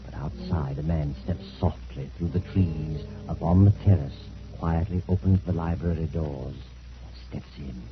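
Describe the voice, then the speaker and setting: A man's voice: very deep voice, unhurried. An actor playing a grave, suspenseful male narrator of an old radio thriller. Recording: muffled old radio recording. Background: loud music.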